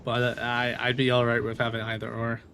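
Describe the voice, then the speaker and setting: A man doing casual live gameplay commentary in a playful, expressive way. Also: medium pitch, a little fast, background noise. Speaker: game streamer. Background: music.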